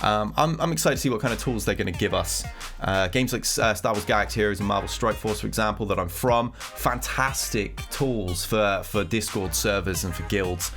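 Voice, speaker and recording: A man's voice: lowish voice, moderate pace, over music. A man with a cold and a nasal voice, giving casual commentary on game news as a gaming content creator. He rambles and thinks aloud as he reacts.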